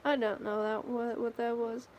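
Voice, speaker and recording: A woman's voice: a little high, level tone, normal speed, a little mellow, a little hiss or hum. A tired, sleepy woman chatting casually to viewers on a livestream, rambling and trailing off. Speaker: live streamer.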